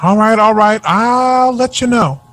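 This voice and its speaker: A man's voice: high voice, unhurried, a bit bright. A man acting in an audio drama as a young, sassy but self-doubting aspiring jazz singer. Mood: sheepish.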